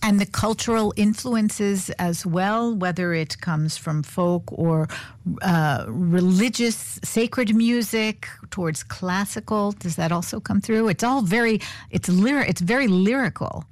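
A woman radio host asking measured, articulate interview questions. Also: medium pitch, no room sound.

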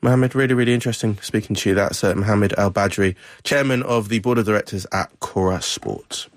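Low-voiced male radio presenter. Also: fairly quick, dry recording, studio quality. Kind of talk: broadcast interview.

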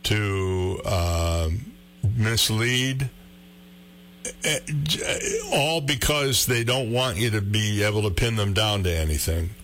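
A middle-aged radio man with a deep, low baritone, talking in an easygoing way and gently poking fun at himself as he works through his thoughts. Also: very animated, slow, a little hiss or hum. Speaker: radio host. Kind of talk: casual conversation.

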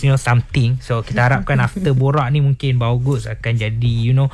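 A man hosting a casual chat, breezy and relaxed, with plenty of fillers. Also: mid-range voice, fast talker. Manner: breezy, with lots of fillers like "you know". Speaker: host. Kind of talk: casual hosting, mixing English and Malay.

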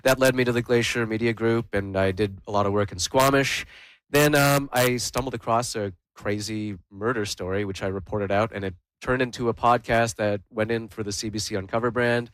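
Young male reporter, mid-low voice, eager and conversational, dry studio mic. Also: steady tone, moderate pace, a bit bright, clear, resonant tone, no echo, poor audio. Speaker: news reporter. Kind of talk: radio interview.

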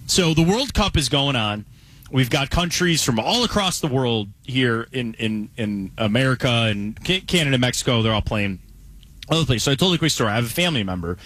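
A man's voice: mid-range voice, fairly bright tone. A man from Cleveland, Ohio, hosting a casual sports radio talk show, chatty and storytelling.

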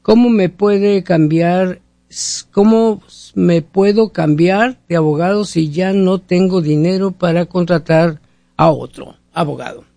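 A man's voice: high-pitched, unhurried, vocal fry, mellow, no echo. A man hosting a radio call-in show, chiming in with brief, friendly remarks and reading out listener messages. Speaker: radio host.

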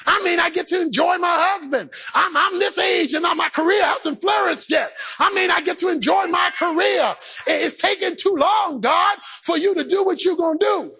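A man's voice: very high voice, creaky, pushed and bright. A man preaching a sermon, calling out and half-singing his phrases, acting out the voices of the people he preaches about. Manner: preaching in a rising, half-sung chant; acts out the believer's inner voice. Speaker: pastor. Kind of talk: shouted sermon.

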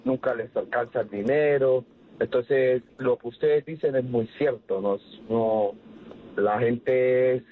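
A man's voice: mid-range voice, takes it slowly, slight background noise. Venezuelan man on a tinny phone line. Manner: earnest, warm, hesitant. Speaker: caller. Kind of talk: phone-in call.

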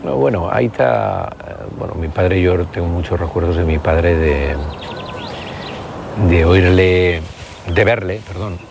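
A man's voice: sits low, a little slow, creaky, noisy background. A man in his sixties from the Country, speaks as a politician guest in an interview, reflective and full of hesitations and restarts. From Basque Country, Spain. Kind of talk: conversational interview.

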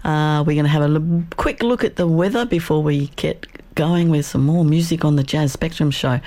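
A woman with an Australian accent presenting on community radio, relaxed and chatty, her voice croaky today. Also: sits low, normal speed. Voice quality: gravelly. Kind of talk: casual live radio links.

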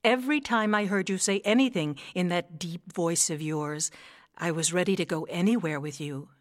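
A woman playing a gentle, concerned mother swan in a children's story, speaking in a refined, tactful way. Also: fairly low pitch, moderate pace, no echo, clean studio sound, lightly acted. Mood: tender concern.

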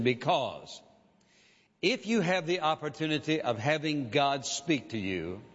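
A man preaching a sermon as a pastor, measured and emphatic, repeating key phrases for weight. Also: on the high side, fairly bright tone. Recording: dull lo-fi sound with long hall reverb.

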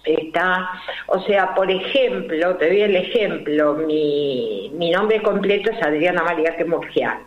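Slow, deliberate older woman, Rioplatense accent. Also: on the low side, animated. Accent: Rioplatense Spanish. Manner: slow and deliberate, many fillers and rhetorical questions. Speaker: numerologist guest. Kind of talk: explanatory interview talk. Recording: roomy, dull lo-fi recording.

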